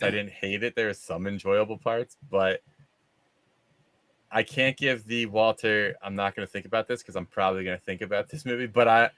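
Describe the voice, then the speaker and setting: A man's voice: fairly low pitch, fairly bright tone, smooth, no echo. A man hosting a casual live movie discussion, talking in an informal, rambling, conversational way. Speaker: show host.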